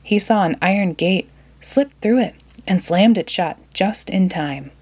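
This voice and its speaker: A woman storyteller reading a children's storybook aloud in an expressive manner. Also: mid-range voice, a little slow.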